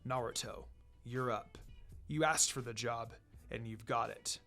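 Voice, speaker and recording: A reader playing a calm, commanding male ninja teacher in a story reading. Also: mid-range voice, soft background music, voice pushed down. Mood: quiet command. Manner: calm, measured.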